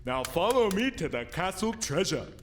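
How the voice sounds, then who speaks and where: A man playing a huge bossy enchanted giant in a storybook radio play, calling out loud, drawn-out commands. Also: sits high, big pitch swings. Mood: commanding.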